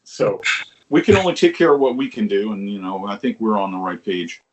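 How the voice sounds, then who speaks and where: Low-voiced older New man reminiscing. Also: very animated, fairly quick, noisy background. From Long Island, New York. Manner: rambling, frequent restarts, swears casually, chuckles. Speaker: musician guest. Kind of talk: conversational interview storytelling.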